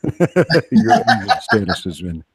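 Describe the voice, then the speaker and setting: A man's voice: on the low side, huge pitch swings, slow pace, a bit bright, husky, no echo, clean studio sound. A man co-hosting a casual livestream, teasing and joking dryly as he chats. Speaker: livestream co-host. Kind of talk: casual bantering chat.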